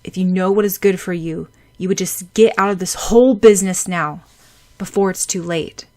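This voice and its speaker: A woman voicing a cold, sharp-tongued teenage schoolboy who talks down to others. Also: fairly low pitch, moderate pace, lightly acted. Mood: warning.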